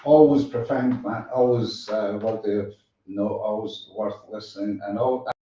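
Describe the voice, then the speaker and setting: A man hosts a casual live chat show in a soft, mellow voice. He rambles and pauses as he goes. The sound is very echoey and distant from the microphone. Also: on the low side, fairly even intonation, dull audio, a little hiss or hum. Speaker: show host.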